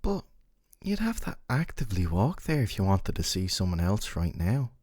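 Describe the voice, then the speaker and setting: A man playing a gentle but possessive, smug dating-sim boyfriend in an ASMR roleplay, soft and coaxing, with articulate phrasing and teasing questions. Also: fairly low pitch, very animated, moderate pace, no echo, clean studio sound. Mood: fond, reassuring. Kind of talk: scripted ASMR roleplay monologue to the listener.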